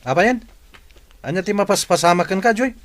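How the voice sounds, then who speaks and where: A man's voice: sits high, lively intonation, brisk, a bit bright. A man acting an exasperated, defensive young jobless husband in a radio drama, with an Ilocano accent.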